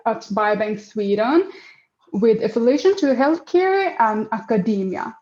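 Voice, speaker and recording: Hesitant young woman, mellow, echoey. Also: fairly high pitch, lively intonation, a little slow, lo-fi sound. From Afghanistan. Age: 20s. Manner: hesitant, many fillers and restarts. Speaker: project manager, interview guest. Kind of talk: casual interview answers.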